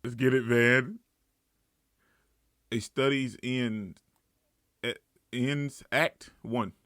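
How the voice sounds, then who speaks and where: A man giving an excited, casual reaction commentary, exclamatory and slangy as he hosts. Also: mid-range voice, very slow, no room sound, clean studio sound. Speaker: anime reaction host.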